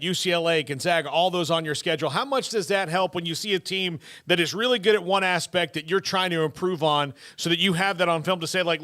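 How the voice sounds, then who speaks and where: A man co-hosts a sports radio interview with long, winding questions. His voice is bright and slightly high, recorded close and dry. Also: dry recording.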